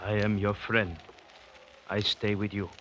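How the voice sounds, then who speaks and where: A man's voice: lowish voice, level tone, measured pace, background noise, close to an everyday voice. An actor playing a cautious, loyal native chief serving a king, speaking formally and respectfully in 1950s radio drama style. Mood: loyal, sombre. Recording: vintage 1950s radio broadcast, loud music.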